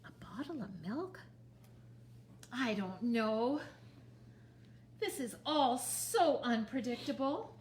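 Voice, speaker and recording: A woman voicing a bewildered, gentle husband in a children's storybook. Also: a little high, animated, slow, long pauses, a little hiss or hum.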